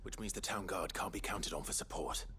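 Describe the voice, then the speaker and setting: An actor playing a stoic, steadfast heroic lord in a video game, measured and grave, with a hushed delivery. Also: male voice, deep voice, close to an everyday voice. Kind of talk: dramatic video game acting. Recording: loud music behind.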